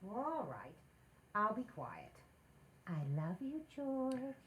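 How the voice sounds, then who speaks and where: A woman's voice: on the high side, wildly swooping pitch, takes it slowly, fairly bright tone, a little hiss or hum, full character voice. A woman playing a playful, meddling married housewife in 1940s radio comedy style, with an emphatic theatrical delivery. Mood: teasing.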